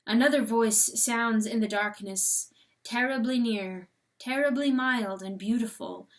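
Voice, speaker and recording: A woman reading a novel aloud as dramatic narration, with theatrical, deliberate phrasing. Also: a little high. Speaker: audiobook reader.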